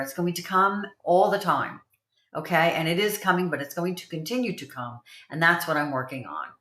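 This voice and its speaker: A woman's voice: on the low side, a bit bright. Chatty woman in her seventies, roomy sound. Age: 70s. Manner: chatty, rambling, repeats key phrases. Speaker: livestream host promoting online income. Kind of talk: casual livestream talk to viewers.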